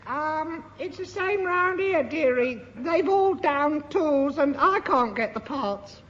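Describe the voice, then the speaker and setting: A man plays a chatty barmaid in a comic radio blues, singing slowly and high over soft backing music. The old recording is dull and muffled. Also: expressive, exaggerated voice. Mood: chatty, plaintive.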